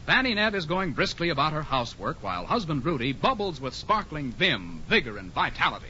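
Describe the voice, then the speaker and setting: A man's voice: on the high side, very animated, fairly quick, slight background noise. A man announcing a 1940s radio show with showy, theatrical scripted delivery. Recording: dull 1940s radio recording. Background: loud music.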